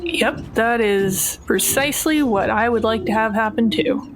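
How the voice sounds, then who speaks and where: A woman's voice: fairly high pitch, a little slow, music in the background, lightly acted, poor audio. A woman voicing a cool professional thief in a tabletop game, with a dry, laconic manner. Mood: dry, unfazed. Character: cool, wry female professional thief for hire.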